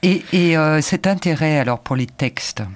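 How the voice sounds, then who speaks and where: A man hosting a radio interview, courteous, prompting his guest with quick summaries. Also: a little high. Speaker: radio host.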